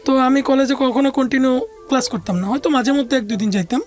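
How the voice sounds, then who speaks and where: A woman's voice: high voice, steady tone, quick pace. A woman with a Bangladeshi accent recounting her life story conversationally as an interview guest, mixing in English words. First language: Bengali.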